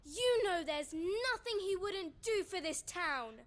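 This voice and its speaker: A woman's voice: very high-pitched, lively intonation, takes it slowly, heavily acted. A woman playing a loyal, indignant small child in a video game, pleading and accusing with earnest feeling. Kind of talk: dramatic video game dialogue.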